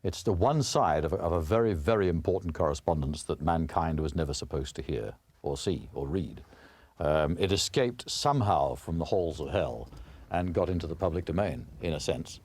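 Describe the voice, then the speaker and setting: A man's voice: a bass voice, lively intonation, moderate pace, darkish tone, breathy edge, no room sound. A man giving interview commentary on a drama.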